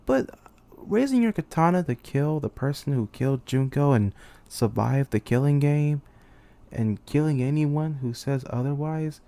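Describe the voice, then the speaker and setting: Male, dark and creaky mid-range voice, for a weary, embittered student leader in an intense amateur drama monologue. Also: fairly high pitch, lively intonation, a little slow. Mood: despairing, questioning. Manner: brooding, intense, swearing. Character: weary, bitter young male student commander trapped in a killing game. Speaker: aspiring voice actor.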